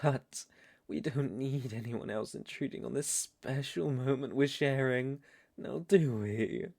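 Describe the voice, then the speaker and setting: A man's voice: mid-range voice, measured pace, creaky, darkish tone, dry recording, clean studio sound. A British man playing a seductive, domineering vampire lord in an intimate ASMR roleplay, with teasing, ornate, condescending speech. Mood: soft, seductive.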